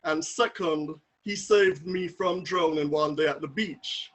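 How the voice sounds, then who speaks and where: A man speaks in a slightly raised voice. He reminisces about his father in a relaxed, conversational tribute, full of fillers and asides. The sound comes through a home video call mic. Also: a little high, unhurried, low-quality recording. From Jamaica. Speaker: son giving a memorial tribute. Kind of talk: personal spoken tribute.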